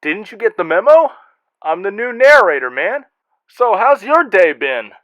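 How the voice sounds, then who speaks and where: A man's voice: high voice, sing-song, a little slow, fairly bright tone, no room sound, muffled recording. Wry male host with swooping, theatrical delivery. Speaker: host and narrator reading fan mail. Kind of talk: scripted comic hosting.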